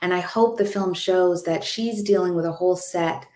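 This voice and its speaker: A woman from Jamaica talks emphatically, often circling back for the right word. Her voice sits fairly low. The webcam catches a noticeably echoey room. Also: moderate pace, a little mellow. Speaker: filmmaker panelist. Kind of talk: panel discussion on video call. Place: home room indoors. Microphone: webcam video call.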